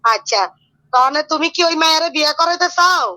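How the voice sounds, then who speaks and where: Woman, self-styled tantric healer on a call; high, bright, brisk and bossy. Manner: brisk and commanding, talks down to the caller. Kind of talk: phone consultation.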